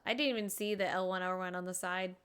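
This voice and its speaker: A woman's voice: fairly low pitch, fairly even intonation, quick pace, fairly bright tone. A woman streamer giving casual live commentary as she plays a video game. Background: game music.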